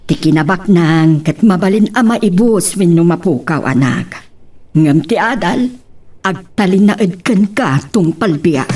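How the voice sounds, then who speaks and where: A woman's voice: on the low side, expressive, measured pace, a little mellow. An actress playing a protective mother who gives firm, admonishing advice to her daughter in an audio drama. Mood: firm concern. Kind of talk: Ilocano radio-style drama acting.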